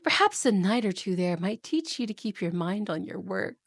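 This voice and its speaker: A woman's voice: medium pitch, expressive, moderate pace, creaky, dry recording, clean studio sound. A woman playing a haughty, cruel evil queen and wicked stepmother, imperious, scolding and condescending, in a fantasy audio roleplay. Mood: stern, scolding. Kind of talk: fantasy ASMR roleplay acting.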